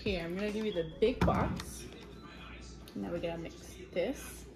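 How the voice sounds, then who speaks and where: A woman's voice: medium pitch, moderate pace, roomy sound. A young woman filming a casual cooking vlog with her toddler, giving sing-song encouragement in a home kitchen. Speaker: vlogger, mother. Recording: background music over kitchen sounds.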